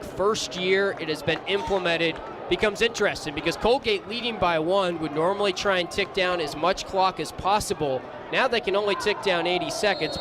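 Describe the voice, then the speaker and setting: A young man doing live play-by-play lacrosse commentary for radio, excitable and ready to shout on big plays. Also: high-pitched. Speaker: play-by-play announcer. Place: sports stadium during a live game. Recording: loud crowd noise behind commentary. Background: crowd and arena noise.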